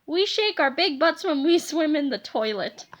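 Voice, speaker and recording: A woman's voice: sits high, animated, moderate pace. A woman reading a storybook as a mischievous, breezy schoolboy with a cheeky, casual manner. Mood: dismissive.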